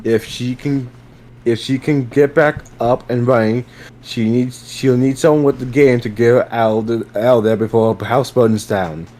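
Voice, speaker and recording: A man's voice: medium pitch, steady tone, moderate pace, mellow. A man taking part in a dramatic reading, delivering dialogue and short commands in a casual style. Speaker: reader in a group dramatic reading.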